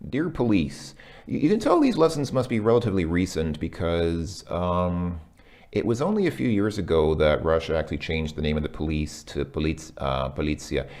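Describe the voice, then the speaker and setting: Man, very deep voice, hesitant, lots of ums, casual lesson commentary. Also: big pitch swings, moderate pace, a little mellow. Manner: hesitant, full of ums and self-corrections. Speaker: language learner reviewing a lesson. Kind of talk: casual thinking-aloud commentary.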